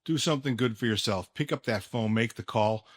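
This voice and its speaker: A man hosting a casual sports podcast, speaking emphatically with deliberate pauses. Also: medium pitch, no echo, clean studio sound. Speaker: podcast host. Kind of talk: casual sports podcast talk and personal ad read.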